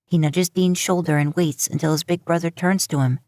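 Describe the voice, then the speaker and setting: A woman's voice: fairly low pitch, steady tone, moderate pace, dark tone, no room sound. A woman reading scripted fiction aloud as an audiobook-style narrator. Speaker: podfic narrator.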